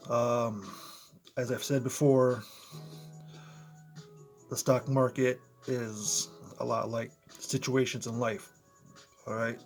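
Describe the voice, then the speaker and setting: A man with a slightly hoarse, froggy voice talks casually into a close camera in his apartment. His pitch sits in the middle range, and he keeps checking in with the viewer. Faint music plays underneath. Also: flat delivery, slow pace, long pauses. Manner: conversational, talks straight to viewers, frequent "you know" and "right?". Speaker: motivational vlogger. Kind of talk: casual explainer vlog. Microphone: close to camera, in front of computer.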